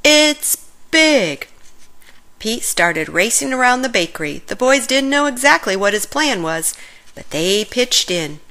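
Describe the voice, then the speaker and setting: A woman reading a children's story aloud in an expressive, animated way, with deliberate phrasing for young listeners. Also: mid-range voice. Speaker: storybook reader.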